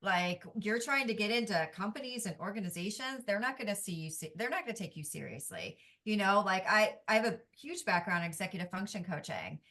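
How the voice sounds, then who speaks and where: A woman speaks as a guest in a casual conversation, earnest and reflective. Her voice is bright and forceful, and she builds her points in short phrases. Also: medium pitch, expressive, brisk, few pauses. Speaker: guest, executive function coach and doodle artist.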